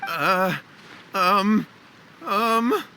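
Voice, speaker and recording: A man's voice: high voice, very slow, sharp tone, a little hiss or hum, heavily acted. An actor playing a nervous young man who stammers and confesses in fright.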